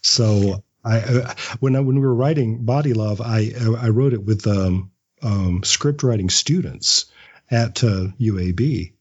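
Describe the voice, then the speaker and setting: A man's voice: on the low side, a little slow, a little mellow, husky, highs cut off. A man giving casual, reflective interview answers as a guest writer, often repeating words as he thinks. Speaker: podcast guest, audio drama writer; script writing teacher.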